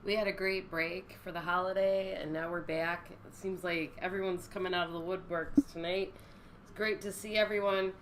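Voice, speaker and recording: A woman's voice: lowish voice, fairly even intonation, normal speed, noisy background. A woman co-hosting a live stream while she has a cold, chatting in a friendly way and thanking viewers. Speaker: co-host. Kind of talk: casual chat thanking viewers.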